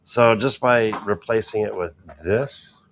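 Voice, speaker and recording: A man with a very deep, gravelly voice chats casually, greeting viewers as he reads their comments. Faint background noise sits under his speech. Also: slow pace, a bit bright. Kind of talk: casual hobby chat livestream.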